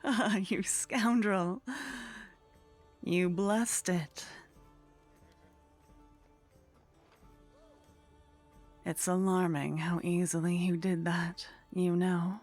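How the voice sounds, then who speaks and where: Woman acting a slow, breathy, flirtatious vampire lady. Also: on the low side, fairly even intonation, darkish tone, faint music behind. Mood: startled, indignant. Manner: slow, teasing, breathy murmur slipping into whispers. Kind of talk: ASMR roleplay. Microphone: close microphone.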